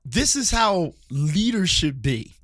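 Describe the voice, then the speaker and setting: A man's voice: fairly high pitch, big pitch swings, takes it slowly, a bit bright, dry recording, studio quality. A man from Philadelphia co-hosting a podcast, ranting passionately about church culture and often restarting his sentences. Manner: passionate, rambling, many restarts. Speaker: podcast co-host. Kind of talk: casual church-culture chat and rant. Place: studio table with co-host.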